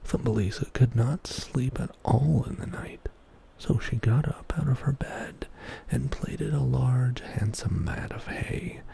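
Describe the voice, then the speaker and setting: A middle-aged man reading a bedtime story as ASMR in a soft, breathy whisper, hushed and soothing, close to the microphone in a small indoor studio. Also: medium pitch. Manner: slow, hushed, soothing narration.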